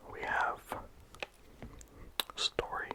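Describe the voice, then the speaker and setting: This is a whispered ASMR game review, in a steady voice with hardly any sound behind it. The reviewer rambles casually and leans on "like" between thoughts. The breathy hush never lifts into full voice. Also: extremely slow. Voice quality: whispered throughout. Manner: casual rambling with many "like" fillers.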